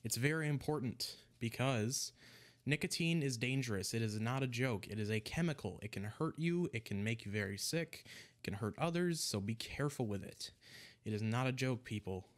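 A young man casually walking through a recipe in a home vlog, sounding a little congested, relaxed and rambling, often restarting his words. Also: medium pitch, moderate pace. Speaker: e-liquid mixing vlogger. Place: small room at home, indoors. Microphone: desk microphone in front of him.

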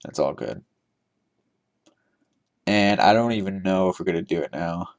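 Young male game host, lowish voice, loose rambling commentary with laughs, desk mic. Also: normal speed. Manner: chatty, rambling, laughs often, thinks aloud. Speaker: trivia giveaway host. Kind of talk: casual gameplay commentary.

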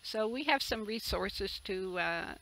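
A woman in her seventies from the Netherlands, a native Dutch speaker, giving a halting, earnest personal testimony. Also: mid-range voice, expressive, sharp tone. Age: 70s. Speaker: interviewee, retreat camp owner. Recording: clear background noise, outdoor ambience.

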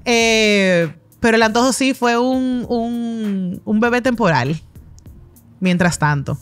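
Lively Dominican woman telling stories. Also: a little high, soft background music. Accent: Dominican Spanish. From Dominican Republic. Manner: animated, gestures a lot, quick asides. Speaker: chef, interview guest. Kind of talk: casual interview storytelling. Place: podcast studio with brick walls and plants. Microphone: studio mic on a boom arm.

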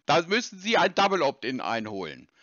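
A man's voice: fairly high pitch, huge pitch swings, a little fast, fairly bright tone, no room sound. Insistent man on a muffled call. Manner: insistent, interrogating, formal. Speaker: scam-baiting caller. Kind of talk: prank phone call.

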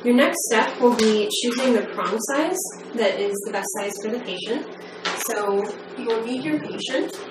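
A woman in her thirties giving a measured, step-by-step training demonstration in the manner of a methodical instructor. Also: a little high, lively intonation. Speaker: medical device trainer. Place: small plain indoor room. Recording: echoey room, distant mic, background noise.

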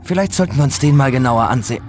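An actor playing an anxious, determined demon hunter with an intense, pressing manner in a horror audio drama. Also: male voice, medium pitch, lively intonation, fast talker, darkish tone, lightly acted. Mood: wary, hushed. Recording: noisy scene ambience behind.

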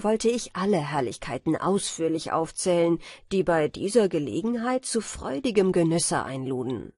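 A woman's voice: mid-range voice, darkish tone, no echo. Woman narrating fairy tales, close dry sound. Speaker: audiobook narrator. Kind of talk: scripted storybook narration for bedtime fairy tales.

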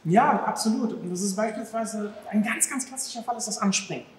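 Guy from afghanistan in his 30s, lively chatty interview, sounds like a big echoey hall. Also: high voice, a little fast, background noise. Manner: lively, animated, acts out dog owners' exclamations. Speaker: dog behavior therapist, guest. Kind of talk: casual interview talk. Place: large empty restaurant hall, indoors.

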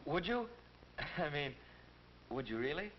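A man's voice: on the high side, big pitch swings, a little slow. A young man playing an eager, good-natured teenage boy in a 1940s instructional film. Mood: eager, joking. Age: young adult. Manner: earnest, boyish. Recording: muffled, noisy 1940s film soundtrack.

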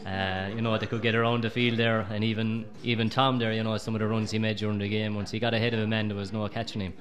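Fast-talking low Irish man. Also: level tone. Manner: quick, easygoing, lots of "you know". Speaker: former goalkeeper guest. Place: busy pub, indoors.

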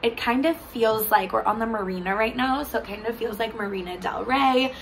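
Slightly hoarse thirties woman vlogging on a balcony, giggly and chatty, faint outdoor hum. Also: fairly high pitch, fairly quick, poor audio. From California. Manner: bubbly, laughs often, lively hand gestures. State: a little hoarse. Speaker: travel vlogger. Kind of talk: casual chat to camera.

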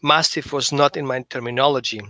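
A man's voice: mid-range voice, fairly even intonation, fairly quick, sharp tone, dry recording. A middle-aged man from Greece hosting a casual video-call chat about dogs, explaining in a friendly way with small hesitations. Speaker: host, holistic dog behaviorist. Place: small room indoors. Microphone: headset mic on a video call.

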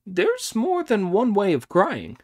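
A man voicing a brash, cheeky monkey king who talks high and loud in an audiobook. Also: wildly swooping pitch, slow pace, exaggerated voice. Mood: bossy. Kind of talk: audiobook character voice.

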